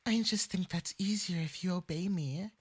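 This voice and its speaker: A performer with a light, breathy voice playing a clingy, lovestruck fox-spirit femboy mage in an intimate ASMR roleplay, coaxing sweetly and possessively. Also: medium pitch, moderate pace, natural voice. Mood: possessive, calm. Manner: sweetly coaxing, possessive, swinging into eager excitement.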